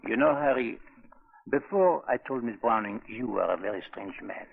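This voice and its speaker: A man's voice: mid-range voice, animated, normal speed, gravelly, husky. A man acting a genial, amused French hotel keeper in a 1940s radio drama. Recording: muffled tinny 1940s radio recording.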